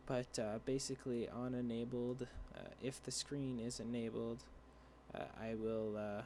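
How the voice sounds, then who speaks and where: Halting young man with a gravelly, dry voice. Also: mid-range voice, fairly even intonation, mellow, a little hiss or hum. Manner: rambling, halting with many fillers and restarts. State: dry voice, clearing throat. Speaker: tutorial creator. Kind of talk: screen-share coding tutorial.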